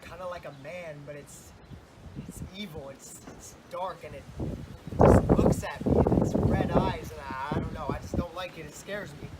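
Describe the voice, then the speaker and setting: A man's voice: on the high side, fairly even intonation, moderate pace, lightly acted. A man playing an easygoing, reticent Texan wanderer in an amateur drama, speaking hesitantly and briefly. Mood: uneasy. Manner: hesitant, laconic, colloquial. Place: outdoors in wind. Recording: windy outdoor noise, clicks, distant mic.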